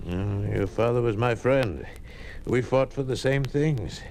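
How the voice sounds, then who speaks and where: An actor playing a sly older lieutenant governor, avuncular and evasive, in 1950s radio drama style. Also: male voice, mid-range voice, measured pace, a little mellow, close to an everyday voice. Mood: falsely friendly. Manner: avuncular, evasive, hesitant hemming. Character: sly, smooth-talking older lieutenant governor, two-faced party official. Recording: noisy old 1950s radio recording.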